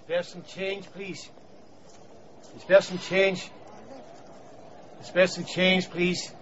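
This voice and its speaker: An actor playing a wily, cagey Scottish street beggar in a radio drama, sly and teasing. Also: male voice, high voice, flat delivery, very slow, fairly bright tone, dull audio, a little hiss or hum, heavily acted. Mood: pleading.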